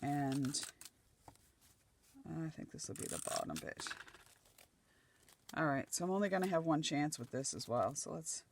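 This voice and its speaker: A middle-aged woman from Nova Scotia, chatting casually as she works on a craft project, her voice slightly croaky and dry. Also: deep voice, lively intonation, measured pace, pauses often, slight background noise. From Nova Scotia, Canada. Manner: chatty, thinking aloud while gluing collage pieces. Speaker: craft channel host.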